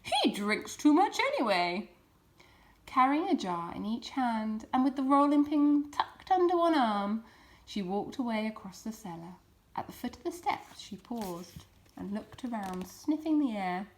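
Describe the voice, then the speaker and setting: A woman narrating a children's storybook in an expressive reading style. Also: on the high side, a little slow. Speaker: storybook reader.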